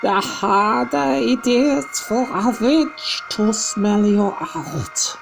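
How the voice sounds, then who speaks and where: A woman voicing an elderly grandmother who gives a slow, lilting warning in a storybook reading. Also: a little high, expressive, gravelly, over music, pitched above the performer's own voice, exaggerated voice. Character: old grandmother giving a gentle warning.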